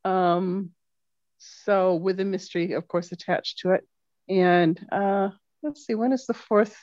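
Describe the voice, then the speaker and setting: A woman's voice: mid-range voice, steady tone, a little slow, darkish tone, dry recording. A woman chatting casually as a member of a book group, heard through a lo-fi connection. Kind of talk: casual chat. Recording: dull, lo-fi line.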